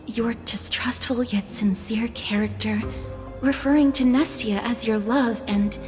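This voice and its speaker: A woman's voice: fairly high pitch, a little slow, darkish tone, natural voice. An actress playing a young woman scholar in a video game, polite, inquisitive and logical, speaking in an earnest, precise, articulate way. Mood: earnest, inquiring. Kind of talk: scripted video game voice acting. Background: faint game music.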